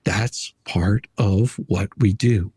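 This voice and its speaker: Husky, indignant male commentator. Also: lowish voice, fairly even intonation, slow pace, darkish tone, no echo. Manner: indignant, emphatic, argumentative. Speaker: live-stream host. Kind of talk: opinionated commentary, reading a document aloud.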